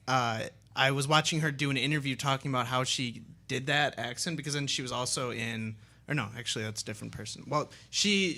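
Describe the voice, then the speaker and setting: A man's voice: mid-range voice, pushed and bright. A young man from the Milwaukee area hosting a casual radio interview, chatty and rambling with many fillers. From Milwaukee area, Wisconsin. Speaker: radio show host.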